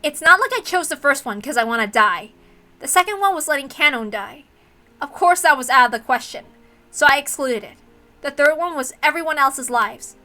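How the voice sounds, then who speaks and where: A woman's voice: sits high, animated, moderate pace, pushed and bright. A woman voicing a blunt, passionate young heiress, defiant and emphatic, speaking in slangy lines as in a visual novel.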